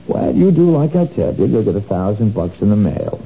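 A man's voice: lowish voice, big pitch swings, a little fast, vocal fry. An actor playing a sly, menacing male crook who wheedles and threatens, in a 1970s radio drama. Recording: dull lo-fi old radio recording, faint noise.

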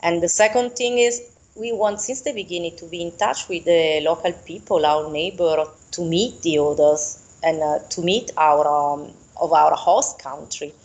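A middle-aged woman with an Italian accent and a slightly low voice answers in a conversational interview. She explains earnestly, often pausing to find the right word in English, as a native Italian speaker. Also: steady tone, darkish tone, low-quality recording. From Italy.

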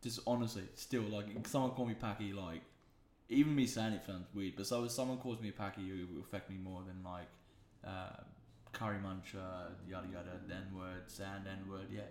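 A man's voice: low voice, steady tone, moderate pace, a little mellow, slight background noise. A young man hosting a podcast, telling rambling stories and swearing freely. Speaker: podcast host. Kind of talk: casual chat. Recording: echoey room.

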